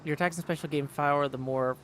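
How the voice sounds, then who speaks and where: A man's voice: on the high side, steady tone, a little fast, a bit bright, slight background noise. A man doing casual live game commentary, thinking aloud and reading game text out as he plays. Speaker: game streamer practicing voice acting.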